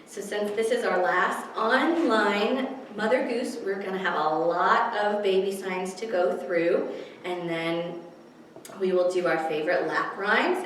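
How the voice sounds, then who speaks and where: A woman hosting a storytime for small children, teaching and reading aloud in simple, encouraging language. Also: mid-range voice. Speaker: children's library storytime host. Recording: echoey room, distant mic, faint noise.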